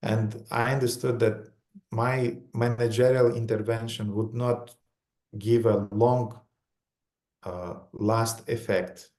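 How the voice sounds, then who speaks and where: Lecturer: man with a Romanian accent, low voice, halting short phrases, echoey room. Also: steady tone, a little mellow. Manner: halting, pauses between short phrases for interpreter. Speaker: visiting lecturer. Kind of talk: lecture in English with consecutive translation.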